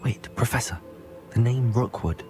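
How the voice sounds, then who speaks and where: A man's voice: medium pitch, normal speed, dark tone, soft background music, close to an everyday voice. A man voicing a polite, earnest young student in a game cutscene.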